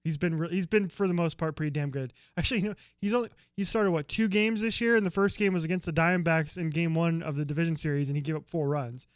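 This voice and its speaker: An American man co-hosts a casual sports talk show, opinionated and rambling, grumbling dryly about rivals. His voice sits high for a man and sounds crisp and resonant. The recording is close and dry. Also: quick pace, few pauses, no room sound. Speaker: co-host.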